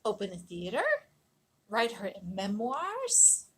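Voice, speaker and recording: A woman reading a children's picture book aloud in an expressive, dramatic storytelling manner, leaning on key words and drawing them out. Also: mid-range voice, takes it slowly, breathy edge. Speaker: storybook reader.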